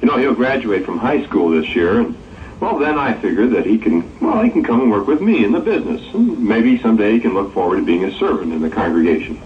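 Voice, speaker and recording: A man playing a protective middle-aged father in a 1960s audio drama, self-assured but halting. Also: fairly low pitch, big pitch swings. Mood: confident. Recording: muffled old tape with hiss.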